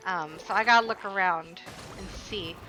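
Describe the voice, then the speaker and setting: A woman's voice: medium pitch, moderate pace, over music, rough recording. A woman streamer chatting casually and rambling while she plays a game, talking back to the characters on screen. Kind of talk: casual chat while gaming.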